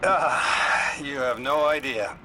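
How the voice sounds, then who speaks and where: A man acting as a wry, worried man in a video game, conversational and a little hesitant. His voice sits at an average pitch, with clear ambient noise and vehicle rumble behind it. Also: slow, natural voice. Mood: troubled. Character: wry, worried adult man named Lodi, game character.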